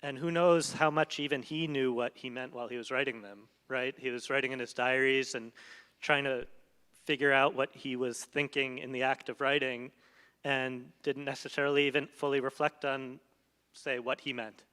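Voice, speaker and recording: A man's voice: medium pitch, steady tone, moderate pace, bright, forceful tone, clean tone. A man, a translator, talking reflectively onstage before an audience and often searching for his words. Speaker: translator in a post-reading conversation. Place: stage of a large hall before an audience. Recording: reverberant hall sound.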